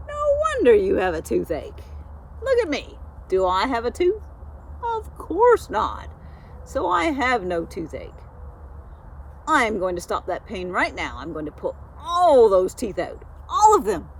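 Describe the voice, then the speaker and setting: A woman's voice: a little high, wildly swooping pitch, slow, slightly rough, pitched above the performer's own voice, exaggerated voice. A woman voicing a proud, bossy know-it-all female goose in a storybook, emphatic and self-assured.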